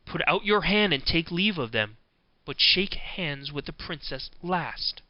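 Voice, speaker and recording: A man voicing a wise, helpful storybook fox who gives measured, instructive counsel. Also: fairly high pitch, animated, dry recording, dull audio. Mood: calmly instructing.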